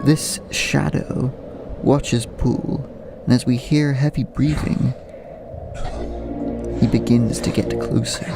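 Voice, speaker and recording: A man narrating a horror audio drama in a measured, suspenseful way. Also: medium pitch, steady tone, creaky. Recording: music underneath.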